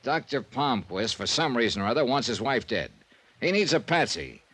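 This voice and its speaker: A man's voice: mid-range voice, very animated, normal speed, a bit bright, slightly rough. A man playing a wry, persistent insurance investigator, narrating and talking in clipped 1950s radio drama style. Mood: persuasive, insistent. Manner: punchy, clipped, conversational. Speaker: narrator and lead detective. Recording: old-time radio recording.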